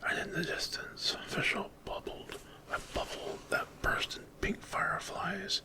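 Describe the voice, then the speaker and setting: A man narrating a dramatic, intense fantasy horror story entirely in ASMR whispers. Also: a little slow.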